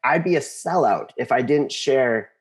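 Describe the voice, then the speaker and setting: Earnest American man, halting storyteller. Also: medium pitch, lively intonation. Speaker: interview guest, composer and conductor. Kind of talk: casual personal storytelling in an interview.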